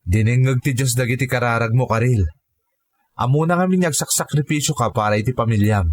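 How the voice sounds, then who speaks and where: Lalaki nga agak-akto a mannakaawat a gayyem nga Ilokano, kalalainganna ti timekna. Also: male voice, medium pitch, a little fast, no echo, lightly acted. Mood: warm, encouraging. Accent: Ilocano. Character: supportive, encouraging male friend of a migrant worker. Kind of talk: Ilocano radio drama acting.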